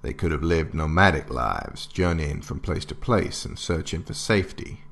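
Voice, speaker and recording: Grave 1800s intelligence chief, super low creaky voice, formal narration, measured. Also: male voice, a bit bright, heavily acted. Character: solemn male intelligence official of 1870s America. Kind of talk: formal scripted archival narration.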